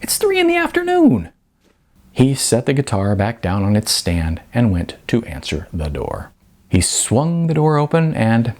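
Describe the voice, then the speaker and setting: A man's voice: on the low side, a little mellow. A man who is an author reading his own novel, narrating expressively with dramatic emphasis. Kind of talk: animated audiobook-style narration.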